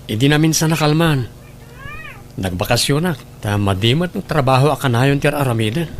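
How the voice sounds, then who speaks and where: A man's voice: medium pitch, animated, moderate pace, a little hiss or hum, natural voice. A man playing a friendly, concerned old acquaintance in an audio drama, with an Ilocano Filipino accent. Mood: friendly, curious.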